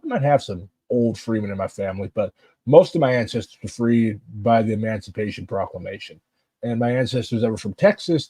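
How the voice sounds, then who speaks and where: A man's voice: fairly low pitch, warm tone, breathy edge, no echo. A man with a St. giving blunt, impassioned political commentary. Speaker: political activist.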